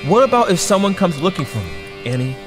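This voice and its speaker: A man's voice: high voice, big pitch swings, fast. A man playing an awkward, earnest young captive superhero in an audio drama, speaking hesitantly. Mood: placating, pleading. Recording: long reverb over faint music.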